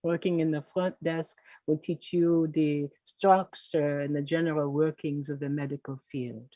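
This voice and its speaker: An actress playing Marie, a confident middle-aged woman mentor from Haiti, who speaks in an unhurried way and ends her lines with coaxing tag questions. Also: low voice, fairly even intonation, dark tone, dry recording. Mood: instructive. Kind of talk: live-streamed stage play reading. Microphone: video call.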